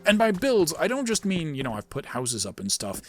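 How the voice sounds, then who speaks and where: Man, slightly high voice, animated pitch, casual gameplay commentary, rambling asides, music behind. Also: a little fast. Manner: rambling, self-aware asides. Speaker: gaming video host and amateur voice actor.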